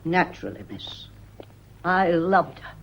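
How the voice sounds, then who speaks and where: An actress playing a tart, loyal British housekeeper in a radio play, curt and stiffly respectful with an indignant edge. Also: female voice, on the low side, sing-song, measured pace, slight background noise. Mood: guarded, indignant. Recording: muffled old radio drama recording.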